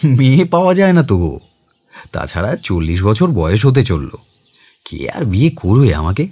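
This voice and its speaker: An actor playing a mild, educated man near forty who narrates his memories in a dramatised literary audio story, in a reflective, expressive way. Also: fairly low pitch, normal speed, breathy edge, heavily acted. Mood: wry.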